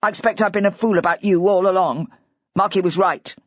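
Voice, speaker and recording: A despairing older husband who rambles in a near-hysterical rush, as a woman narrator puts him on. The voice stays close to her own husky tone, on a dull, dry recording. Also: mid-range voice, steady tone, brisk, no room sound, lightly acted. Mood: defeated.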